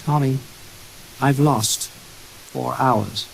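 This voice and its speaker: A man's voice: mid-range voice, low-quality recording. Man playing shaken, earnest man, hissy tape. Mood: troubled. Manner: earnest, troubled, halting. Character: Jim Lowry, shaken, anxious middle-aged man. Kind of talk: audiobook character dialogue. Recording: restored tape with clear hiss.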